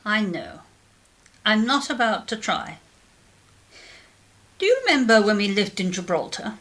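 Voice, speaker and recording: An actress playing a composed, supportive middle-aged English mother in a radio drama, measured and gently questioning. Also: medium pitch, expressive. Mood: supportive, candid.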